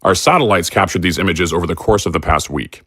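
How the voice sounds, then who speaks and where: An actor playing a hawkish army general who briefs in a formal, measured, ominous way. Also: male voice, low voice, pushed and bright, no echo, clean studio sound. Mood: grave. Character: grave hawkish army general, middle-aged man.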